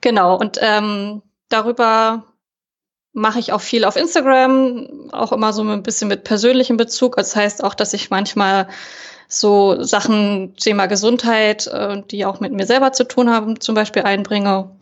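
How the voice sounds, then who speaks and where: A woman's voice: mid-range voice, fairly even intonation, moderate pace. A young woman from Germany, a podcast guest chatting casually with many hesitations and fillers. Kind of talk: casual interview chat. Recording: dull lo-fi sound.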